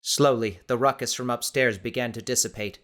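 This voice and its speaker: Bright, forceful male audiobook narrator. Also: mid-range voice, steady tone, fairly quick. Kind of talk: dramatic scripted narration.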